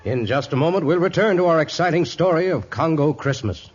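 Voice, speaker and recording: A man's voice: on the high side, expressive, fairly quick, over loud music, close to an everyday voice. A man narrating a jungle adventure serial in dramatic 1950s radio style. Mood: dramatic, suspenseful. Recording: muffled old radio recording.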